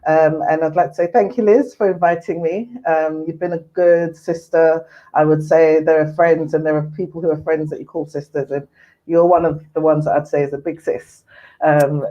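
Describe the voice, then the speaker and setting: Woman, 40s, from Ghana, earnest and unhurried guest, dull lo-fi line. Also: deep voice, steady tone, dark tone. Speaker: mental health services manager, guest. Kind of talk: casual interview chat. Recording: muffled lo-fi audio.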